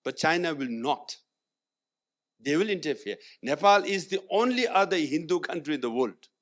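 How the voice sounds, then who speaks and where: A man's voice: on the high side, very animated, moderate pace, a bit bright. A man speaking on a panel, arguing emphatically and dropping Hindi phrases into his English. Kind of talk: panel discussion. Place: conference hall.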